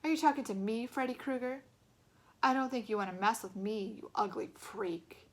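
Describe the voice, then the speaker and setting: A woman voicing a loud, mocking teenage bully boy in a storybook reading, with jeering exclamations. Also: a little high, normal speed, natural voice. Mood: menacing.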